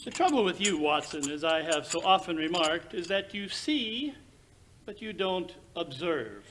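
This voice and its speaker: Gentleman sleuth played by a man, radio drama: high-voiced, very animated, precise, condescending, theatrical, supremely confident. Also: measured pace. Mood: lecturing. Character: brilliant, self-assured Victorian English gentleman detective. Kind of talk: recreated 1940s radio drama acting.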